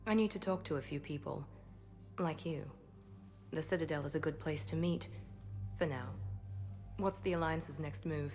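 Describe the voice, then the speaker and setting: An actress playing a composed, guarded woman operative in a video game, speaking in a measured, wry, restrained way. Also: low-pitched, a little mellow, faint music behind, natural voice. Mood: serious. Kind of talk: video game cinematic dialogue acting.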